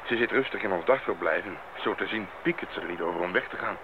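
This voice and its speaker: An actor playing an earnest, observant expedition member in an old radio drama, explaining things reflectively on a noisy recording. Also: male voice, deep voice, fast talker, narrow, tinny audio, natural voice. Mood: puzzled, reflective.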